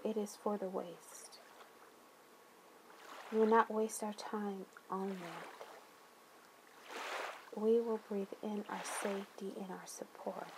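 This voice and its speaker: A woman guides a meditation in a soft-edged, mellow voice at a very slow pace. She places each soothing phrase gently and deliberately, leaving long pauses between them. Faint watery ambient noise runs behind her. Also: medium pitch.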